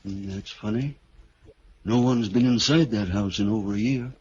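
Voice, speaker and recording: A man plays a solemn house seller in an old radio mystery. He speaks in a middle register, halting and foreboding like a reluctant storyteller. The 1940s recording is dull and faintly noisy. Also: lowish voice, darkish tone, lightly acted. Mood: puzzled, cautionary. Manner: measured, ominous, halting storyteller. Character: grave house seller telling an ominous story. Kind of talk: 1940s radio drama acting.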